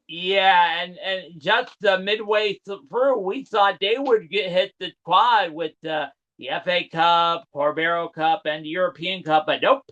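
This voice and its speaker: A man's voice: high-pitched, a little slow, few pauses, sharp tone. A man with a big voice co-hosting a casual sports recap, heard through dull, lo-fi sound. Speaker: sports show co-host.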